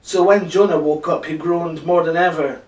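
A man in his thirties telling a children's Bible bedtime story with drawn-out emphasis, seated indoors. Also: fairly high pitch, fairly even intonation. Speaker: storyteller for a church. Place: indoor room, seated before a backdrop. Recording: roomy, echoing, distant microphone.

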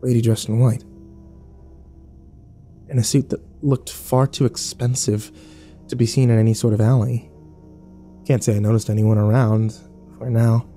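Battered bitter young man, male actor, dark mellow tone, terse sardonic horror narration, faint music behind. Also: medium pitch, level tone, normal speed, long pauses, lightly acted. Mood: sarcastic, wary. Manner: terse, sardonic, profane, clipped fragments. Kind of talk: dramatic first-person horror audio drama narration.

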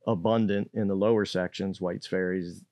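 A lower-voiced older man explains things in a measured, halting way, stopping often to restart a thought. He speaks into a close studio mic on a boom arm, and the sound is dry. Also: steady tone, dark tone, no room sound. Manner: measured, hesitant, many fillers and restarts. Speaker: state fisheries manager, guest. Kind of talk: explanatory interview answers. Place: indoor podcast studio.

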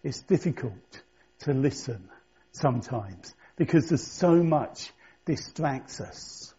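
Pastor, male, light husky voice, relaxed delivery, dull lo-fi church recording, conversational sermon. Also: medium pitch, takes it slowly. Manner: conversational, reflective, rhetorical questions.

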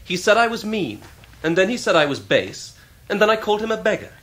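An actor playing an arrogant, sneering upper-class English teenage schoolboy in a period radio drama. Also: male voice, high voice, sing-song, brisk, a bit bright, rough recording. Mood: indignant, scornful. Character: haughty, scornful upper-class schoolboy in his teens.

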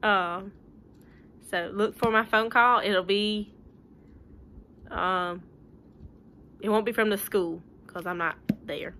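Bright-voiced young woman teacher. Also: medium pitch, steady tone, slow pace, pauses often, a little hiss or hum. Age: young adult. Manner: folksy, plain-spoken, rhetorical questions. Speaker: schoolteacher. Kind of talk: informal talk to young pupils and parents. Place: living room at home.

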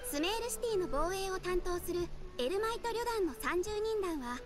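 A woman's voice: extremely high pitch, expressive. A woman voicing a composed young guild receptionist in an anime game, speaking formally and politely. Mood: helpful, composed. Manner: formal, courteous, measured. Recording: game music behind the voice.

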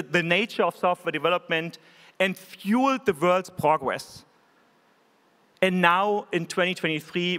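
A man's voice: sits high, fairly bright tone. German man giving a keynote in a hall. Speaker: keynote speaker. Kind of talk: conference keynote speech. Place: large conference hall stage. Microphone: podium microphone. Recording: hall reverb.

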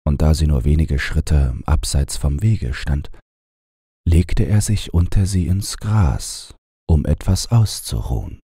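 A man narrating a bedtime fairy tale in a gravelly, husky voice, with a measured, unhurried storyteller's delivery. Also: extremely low pitch, dark tone, no echo, clean studio sound. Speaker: audiobook narrator.

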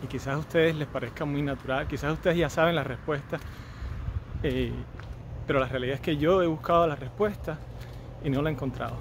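A man's voice: fairly high pitch, brisk. A man in his thirties with a Cuban Spanish accent, chatting casually with joking asides while walking down a residential street, recorded on a phone held on a selfie stick. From Cuba. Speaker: vlogger. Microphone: phone on a selfie stick at arm's length. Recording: outdoor street noise. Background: street traffic and outdoor ambience.

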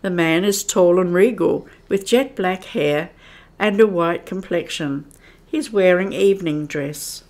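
A woman giving measured, descriptive narration for a scripted radio play. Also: lowish voice, darkish tone. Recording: echoey room.

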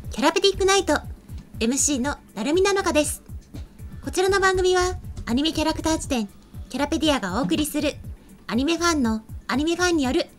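High-pitched, quick-talking woman presenter. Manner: polite, formal. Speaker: show MC. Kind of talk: scripted presenting and co-host chat. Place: small indoor studio set. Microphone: desk microphone. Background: faint music.

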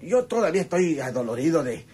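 A man's voice: a little high, big pitch swings, quick pace, dark tone, slightly rough. A man acting in a radio drama as a nervous, greedy rustic treasure hunter, stammering in a northern Mexican accent. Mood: anxious, aching. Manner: stammering, hesitant.

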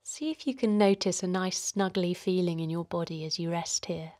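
A woman gently guiding a children's relaxation in a soothing, encouraging way, her voice softly breathy and hushed at times. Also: fairly low pitch, normal speed, no room sound, clean studio sound. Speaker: host of children's guided relaxation. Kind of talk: guided meditation narration for children.

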